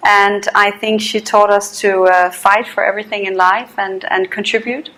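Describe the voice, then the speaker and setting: This is a woman sharing her views on a seminar panel. Her pitch sits at an ordinary middle height for a woman. She keeps circling back on words as she thinks aloud. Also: level tone, a bit bright, poor audio. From Denmark. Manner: talks in quick bursts with many repeated words. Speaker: panel speaker.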